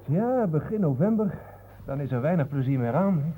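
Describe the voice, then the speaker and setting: An actor with a Netherlands Dutch accent playing a grumbling, flippant photographer in a radio play. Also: male voice, on the high side, lively intonation, normal speed, natural voice. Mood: flippant, uneasy. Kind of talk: naturalistic radio drama dialogue. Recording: noisy, phone-like old radio recording.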